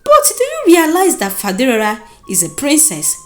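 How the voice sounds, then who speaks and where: A woman voicing a solemn male village king in a commanding, deliberate manner for a folktale. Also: high voice, huge pitch swings, soft background music, voice pushed up. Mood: reproving.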